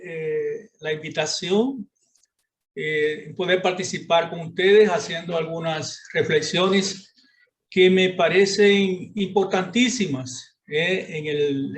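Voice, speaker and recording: A high-pitched man speaks slowly in Spanish colored by Portuguese, pausing often with filler sounds. He delivers an academic lecture over a video call, measured and unhurried. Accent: Portuguese-influenced Spanish. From Brazil. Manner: halting, many filler sounds.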